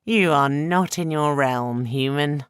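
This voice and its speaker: A man's voice: mid-range voice, unhurried, clean tone, no room sound, clean studio sound, close to an everyday voice. Eshu, a man doing a sneering trickster spirit. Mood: taunting. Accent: halting, overpronounced. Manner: loud, taunting, playful. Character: mischievous male trickster spirit, sneering, boastful.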